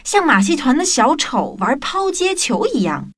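A woman narrating a scripted children's story in an expressive way, as the presenter of an audio drama. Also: sits high, unhurried, dry recording, clean studio sound. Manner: expressive, addressing young children.

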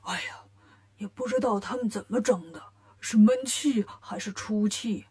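A woman's voice: on the high side, moderate pace, close to an everyday voice. A woman voicing a simple, gloomy male pig disciple from a children's story, grumbling in a plaintive drawl. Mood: hushed grumbling.

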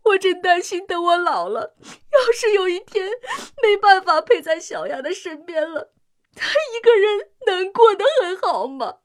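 A woman's voice: extremely high pitch, lively intonation, measured pace. An actress playing a devoted, anxious working-class mother in a science-fiction radio drama, pleading and sighing emotionally. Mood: tearful, loving.

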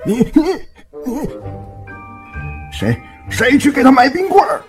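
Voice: high voice, wildly swooping pitch, unhurried, exaggerated voice. An exasperated, stammering scold, voiced by an actor. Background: background music.

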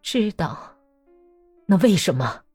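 A woman voicing a terse, grieving male Cossack officer, dropping her voice low and subdued. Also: sing-song, slow, darkish tone, breathy edge, dry recording, faint music behind, exaggerated voice. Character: grieving curt Cossack officer, middle-aged man.

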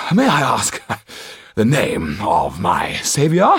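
A man's voice: medium pitch, huge pitch swings, very slow, a bit bright, slightly rough, no room sound, full character voice. A man voicing a long-winded, theatrical male actor who speaks in grand, flowery phrases. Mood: grandiose.

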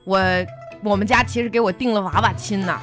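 A woman's voice: mid-range voice, a little fast, soft background music, close to an everyday voice. A woman playing a tipsy, brash tomboy at a class reunion in a radio comedy, exclamatory and earthy, with a Northeastern Chinese accent. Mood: wistful, coy. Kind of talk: comedic radio drama dialogue.

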